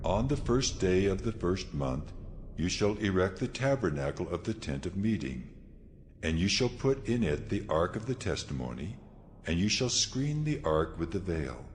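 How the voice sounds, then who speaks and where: Divine voice of God, very low, solemn, declamatory, commanding, audio scripture drama, noisy ambient backdrop. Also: male voice, sing-song, moderate pace.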